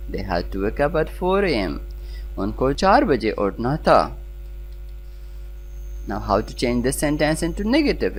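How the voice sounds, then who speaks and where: Pakistani-accented grammar instructor, mid-pitched, very varied intonation, deliberate enunciation, faint humming noise on the recording. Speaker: English grammar teacher. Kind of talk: scripted lesson, explaining and reading example sentences.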